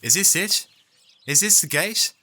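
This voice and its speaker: A man's voice: high voice, animated, measured pace, pushed and bright, exaggerated voice. A man playing a gloomy, cowardly lion with a weary, complaining manner in an audio drama. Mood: weary, anxious.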